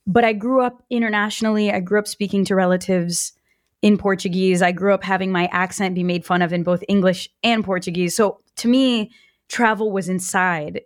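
A woman's voice: mid-range voice, no echo, studio quality. A woman in her late twenties, born in Brazil and raised in the US, telling stories as a guest in a casual podcast interview with lots of "like". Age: 20s. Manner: animated storyteller, lots of "like".

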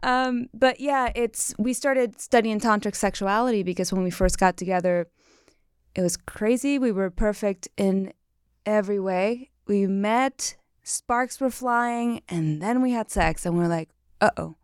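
Cuban accent woman, frank and chatty, smooth clear voice, dry close mic. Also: mid-range voice, measured pace, no room sound. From Cuba. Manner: frank, chatty, self-deprecating. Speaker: tantra teacher, guest. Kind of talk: candid interview storytelling.

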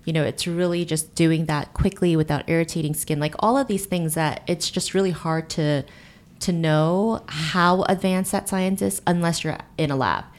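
A woman's voice: low voice, steady tone, moderate pace. A woman in her thirties, a company founder, answering interview questions fluently and earnestly, with many "you know"s and "really"s. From United States and Korea. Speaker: guest, beauty company founder.

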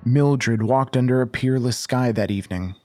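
A man narrating a scripted horror audio drama like a folksy storyteller, in a deliberate way. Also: medium pitch, over loud music.

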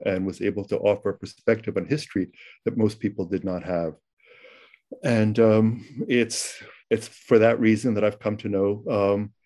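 Middle-aged guy, ukrainian first language, low flat voice, keeps stopping and restarting. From United States. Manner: halting, many ums and restarts. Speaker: novelist guest. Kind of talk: panel discussion.

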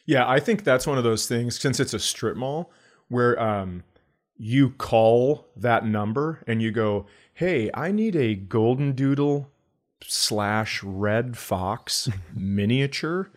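A man from the northern United States, a comedian co-hosting a podcast with casual, deadpan banter. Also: medium pitch, takes it slowly. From northern United States, near Canada.

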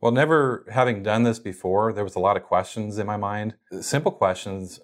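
A man's voice: lowish voice, normal speed. A man being interviewed as a guest, explaining casually how he runs his company's podcast, with plenty of fillers and restarts.

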